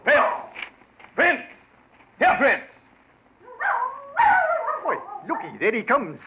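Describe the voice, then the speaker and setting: An actor playing a blunt, excitable, deferential male servant with a Yorkshire accent in a 1940s radio mystery. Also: very high voice, very slow, full character voice. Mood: calling out. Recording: dull, very noisy 1940s radio recording.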